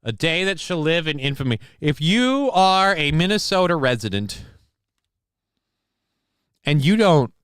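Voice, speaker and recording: Smooth-voiced joking stream host. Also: male voice, fairly high pitch, very animated, unhurried, pauses often, a bit bright, no echo, clean studio sound. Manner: profane, joking, reads viewer comments aloud; mock-grand war speech delivery. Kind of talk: casual live stream chat. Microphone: desk microphone.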